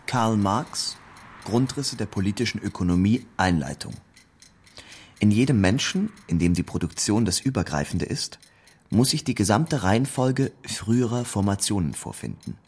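A man's voice: lowish voice, expressive, normal speed, a little mellow, husky. A man giving a scripted reading of a theoretical text, with declamatory, emphatic phrasing.

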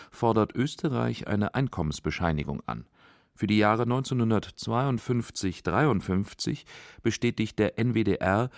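A man's voice: deep voice, no room sound, clean studio sound. A man narrating a scripted radio feature as its documentary narrator.

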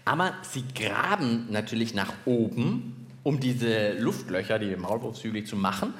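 A man co-hosting a live science podcast for children, chatting in an informal, animated way. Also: fairly low pitch, normal speed. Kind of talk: casual chat on a live children's show. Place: indoor live podcast festival stage. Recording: echoey live venue, faint noise.

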